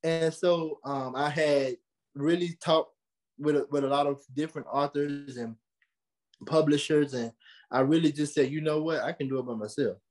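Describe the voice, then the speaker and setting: A man's voice: a little high, fairly even intonation, moderate pace, a little mellow. Young man, casual interview guest. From South Carolina, USA. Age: late teens. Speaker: interview guest, podcaster and motivational speaker. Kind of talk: casual conversational interview answers.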